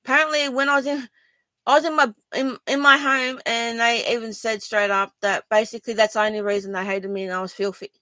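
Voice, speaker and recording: A woman's voice: on the high side, steady tone, brisk, sharp tone, dry recording. An Australian woman from Sydney speaking to viewers in a personal video, rambling and defensive, with many ums and restarts. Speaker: vlogger.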